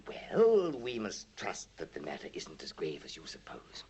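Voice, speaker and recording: An actor with a British accent playing a small, sly, suspicious planter in a 1950s radio drama, oily and mannered. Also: male voice, low-pitched, wildly swooping pitch, a little slow, a little mellow, full character voice. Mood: smooth, insincere. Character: small, sly, suspicious male planter and amateur disease expert. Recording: noisy 1950s radio recording.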